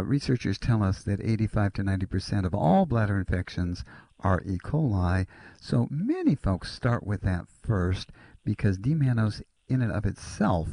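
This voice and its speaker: A man with a low, gravelly, somewhat husky voice walks a caller through natural-medicine advice. His delivery swings up and down in pitch as he explains, polite and earnest. The sound is dark and dry, close to the mic. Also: no echo. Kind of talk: radio call-in advice.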